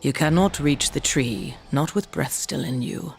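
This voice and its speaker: A woman playing a solemn old shaman of a dying giant race in a fantasy game, speaking in a measured, mystical way. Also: very deep voice, lively intonation, vocal fry, slight background noise, exaggerated voice. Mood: ominous. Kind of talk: dark fantasy game acting.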